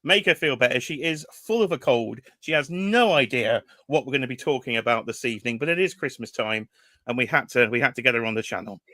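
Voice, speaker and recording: A man's voice: mid-range voice, animated, a little fast, bright, forceful tone, no echo. A British man hosting a toy-collecting livestream, casually chatting and teasing while greeting viewers from the chat. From United Kingdom. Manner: chatty, teasing, reads chat names aloud. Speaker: livestream host.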